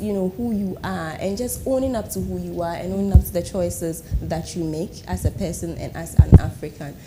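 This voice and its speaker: Talk show host, low voice, noisy studio. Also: female voice. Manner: warm, probing questions, repeats phrases. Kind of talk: casual studio chat.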